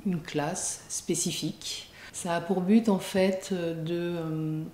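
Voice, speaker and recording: Woman explaining in an interview, haltingly, soft dark voice, roomy with faint rumble. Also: fairly even intonation. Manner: hesitant, halting phrasing. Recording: echoey room, faint rumbling noise.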